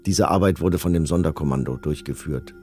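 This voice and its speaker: A man's voice: extremely low pitch, brisk, dark tone, faint music behind. A man playing a cold, bureaucratic camp officer, reading orders and records formally in a documentary radio drama. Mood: cold, detached.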